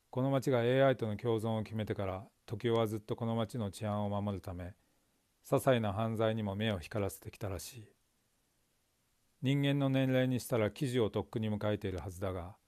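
A man's voice: lowish voice, a little mellow, no echo, studio quality. A middle-aged man, an actor, giving a dramatic reading of a short story indoors. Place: indoors in a small curtained space.

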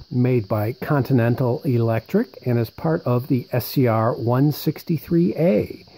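A man with a soft-edged, mellow voice presents in an easy show-and-tell style. He talks conversationally and thinks aloud as he goes, at a medium pitch. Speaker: presenter showing vintage military radio equipment. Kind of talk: casual unscripted show-and-tell narration.

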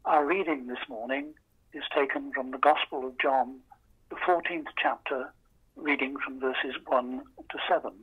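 A man giving a formal, solemn Bible reading in short, measured phrases, on a muffled remote recording. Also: medium pitch, lively intonation, gravelly, husky. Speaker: scripture reader.

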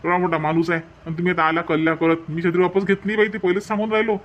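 A man's voice: high voice, level tone, rapid-fire, dull audio, lightly acted. A man voicing a stubborn, haggling street peddler in a cartoon, with a Varhadi Marathi accent. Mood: defensive. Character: pushy middle-aged male street peddler. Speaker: umbrella seller.

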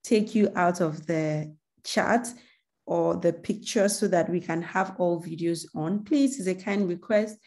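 Mellow-voiced woman hosting a webinar. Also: fairly low pitch, measured pace. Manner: grateful, chatty, coaxing. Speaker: webinar host. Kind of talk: closing remarks to a webinar. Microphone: video call.

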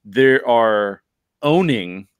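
A middle-aged man speaks as a podcast host in casual discussion. He explains emphatically in an average-pitched voice, recorded dry and close on a podcast microphone. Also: lively intonation, extremely slow, clean tone, no echo. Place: small bedroom, indoors. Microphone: close podcast microphone with foam cover.

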